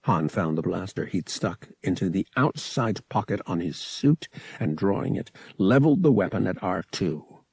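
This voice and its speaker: A man's voice: deep voice, animated, normal speed, vocal fry, a little mellow, slightly rough, dry recording. A man reading scripted fiction aloud as an audiobook narrator, in a dramatic storytelling style. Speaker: audiobook reader.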